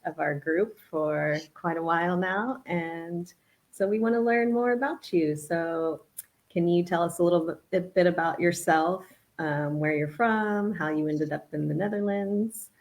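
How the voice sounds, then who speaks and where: A woman's voice: lowish voice, a little slow. A woman hosting an online interview for her group, upbeat and friendly as she introduces and questions her guest. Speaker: host, group founder. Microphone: video call.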